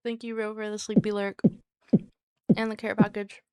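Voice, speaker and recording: A woman streaming live and chatting easily with her viewers by name. Her soft, soothing voice sounds smooth and clear. It is recorded dry and close, with no room sound. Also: medium pitch, level tone, moderate pace, no echo. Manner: chatty and giggly, talks to chat by name.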